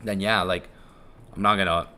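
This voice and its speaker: Bright-voiced young man rambling on a live stream. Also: deep voice, fairly even intonation, normal speed. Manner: rambling, chuckling, swears casually, repeats himself. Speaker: live-stream trading host. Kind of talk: casual live-stream commentary.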